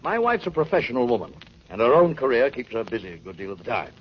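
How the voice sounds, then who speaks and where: Lively, smug little politician. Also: male voice, mid-range voice, fast, slightly rough. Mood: smug, chatty. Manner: fussy, ingratiating, chattering. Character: smug, glib, cowardly male small-time politician. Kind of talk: 1940s radio drama acting. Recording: dull old radio recording, hiss.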